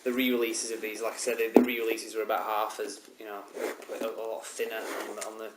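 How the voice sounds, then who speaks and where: A man with a slightly low voice talks casually through a collection review, full of ums and restarts. He has a head cold. The recording is roomy and carries a loud steady hiss. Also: fast. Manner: rambling, many ums and restarts. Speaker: DVD reviewer. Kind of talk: casual show-and-tell review.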